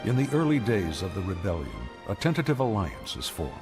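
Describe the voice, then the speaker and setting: A man giving dramatic scripted narration for a space saga, solemn, measured and portentous. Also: lowish voice, sing-song. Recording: music under the voice.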